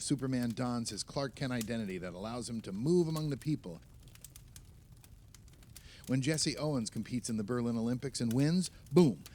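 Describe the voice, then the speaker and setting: An actor playing an opinionated, nostalgic film writer, talking emphatically and at length among friends in a naturalistic audio play. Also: male voice, mid-range voice, a little mellow, a little hiss or hum, lightly acted. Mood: storytelling, proud.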